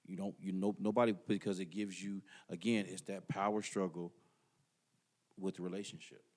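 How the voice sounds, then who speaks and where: A middle-aged man with a fairly low voice makes his point emphatically. He repeats words when others cut in and holds the floor in casual podcast talk. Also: flat delivery, normal speed, a little mellow. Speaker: podcast guest. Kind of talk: casual debate.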